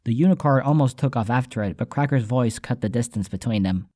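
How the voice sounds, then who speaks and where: A man's voice: medium pitch, fairly quick, warm tone, dry recording, studio quality. A man reading a scripted first-person story as an audiobook narrator, with soundtrack music behind him.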